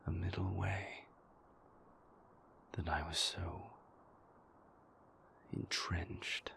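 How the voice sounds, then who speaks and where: Man acting a low, whispering, brooding vampire. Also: fairly bright tone, full character voice. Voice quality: breathy, whispery, creaky. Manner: halting, deliberate, confessional, formal wording. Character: brooding, weary male vampire, a king's conflicted enforcer and lover. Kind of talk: intimate dramatic audio roleplay monologue.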